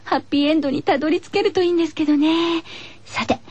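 A woman's voice: high voice, fast talker, a little mellow, dry recording, muffled recording. A woman hosting a radio show, moving between scripted introductions and friendly chat.

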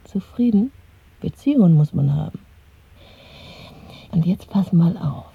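A bold young lover, flippant and teasing, with a low, very breathy voice. It is radio play acting on a tinny recording. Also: female voice, animated, unhurried, full character voice. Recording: dry, tinny narrow-band radio recording.

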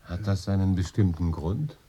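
A man playing a reserved, modest, cultured English consul in a German radio drama, calm, polite and thoughtful. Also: sits low, normal speed, lightly acted.

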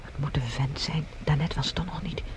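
A woman's voice: deep voice, brisk, close to an everyday voice. Actress as watchful escaped woman, Mars radio drama, anxious, prone to whispering, light background noise. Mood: frightened. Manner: nervous, often whispering. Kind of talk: science fiction radio drama acting. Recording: noisy scene with background ambience.

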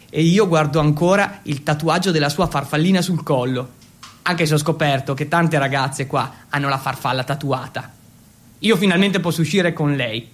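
An actor playing an excitable, awkward Italian teenage exchange student, telling his story in an intense, confessional radio monologue. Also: fairly high pitch, lightly acted. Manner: intense, confessional, switching between Italian and English. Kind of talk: dramatic first-person monologue for radio.

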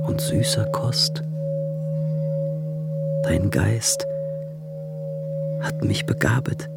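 Jesus, slow and solemn, over music. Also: male voice, fairly low pitch, creaky, full character voice. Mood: devout, tender. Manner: solemn, measured declamation. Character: Jesus, solemn prophetic teacher, grave and measured. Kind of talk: German radio drama, scripture recitation.

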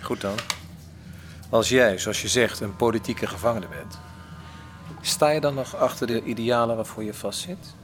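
An actor playing a skeptical prisoner who asks dry, needling questions in a colloquial way in a radio play. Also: male voice, mid-range voice, normal speed, pauses often, fairly bright tone, faint music behind, natural voice. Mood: probing. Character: probing, skeptical male fellow prisoner, drily needling. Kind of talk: Dutch radio play acting. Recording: faintly noisy radio drama recording.

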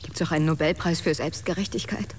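An actress as a cool, low-voiced woman investigator. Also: fairly even intonation, fast talker, slight background noise, lightly acted. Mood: needling. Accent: standard German. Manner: probing, dry. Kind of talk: German radio drama acting.

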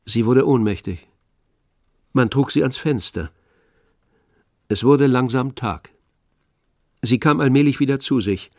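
A man's voice: mid-range voice, unhurried, pauses often, no echo, studio quality. A man narrating a literary radio drama in a scripted storytelling style.

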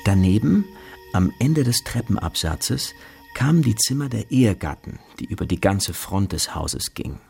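A man acting as literary narrator of a radio drama, reading scripted prose in a measured, gravelly voice. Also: fairly low pitch, big pitch swings, darkish tone, faint music behind, heavily acted. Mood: composed.

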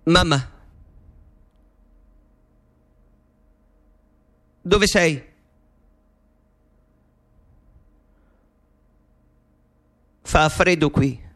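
An actor gives voice to a young eccentric mathematician in a radio drama, with a high-pitched male voice. He speaks intimately and playfully, with a nervous, eccentric edge, as if confiding in his mother. It is a studio recording. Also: natural voice. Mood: wistful. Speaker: actor playing Alan Turing. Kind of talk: theatrical radio monologue.

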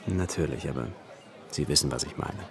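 A man's voice: rumbling low, brisk, some chatter behind, slight background noise. A man playing a friendly, slightly wistful young newspaperman in a radio drama, casual and sociable. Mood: wistful, easy. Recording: music behind the voice.

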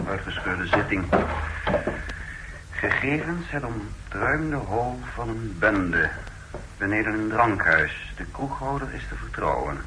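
Through heavy crackling noise comes a lowish male voice playing a terse agent in vintage radio drama. He speaks in clipped fragments, taking stock item by item. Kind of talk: 1960s Dutch radio drama acting. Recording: very noisy, crackly old radio recording.

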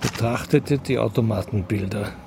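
An actor narrating a crime story in a scripted radio drama. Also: male voice, fairly low pitch, moderate pace, creaky, noisy background. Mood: measured narrating.

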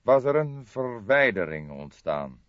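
A man voicing a dry, deliberate older police detective in an audiobook, with a measured, formal, probing way of talking. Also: mid-range voice, very animated, pitched above the performer's own voice. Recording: dull, roomy audiobook recording.

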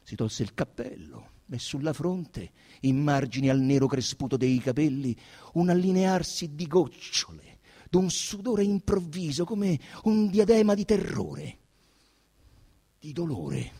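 A man narrating a literary text theatrically, with solemn, deliberate, dramatic phrasing. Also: fairly high pitch, very animated, warm tone, breathy edge. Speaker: narrator and actor.

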